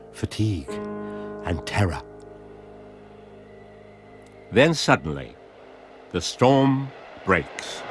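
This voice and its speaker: An actor narrating a radio drama as a grave, measured storyteller. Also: male voice, fairly low pitch.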